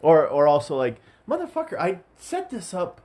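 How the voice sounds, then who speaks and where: A man's voice: fairly high pitch, wildly swooping pitch, moderate pace, a little mellow. A man co-hosting a casual podcast, teasing and playful, swearing freely. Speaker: podcast co-host.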